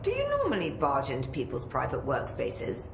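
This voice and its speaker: A woman's voice: very deep voice, wildly swooping pitch, moderate pace, vocal fry, music in the background, full character voice. An actress playing a condescending female researcher in a video game cutscene, speaking in a curt, haughty manner. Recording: echoey room with background noise.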